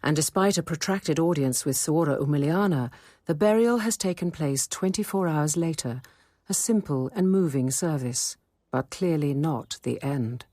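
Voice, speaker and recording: An actress playing a wry, sceptical nun who narrates a radio drama in a measured, intimate first-person manner. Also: female voice, low-pitched, dry recording. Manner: measured, intimate, quietly dry.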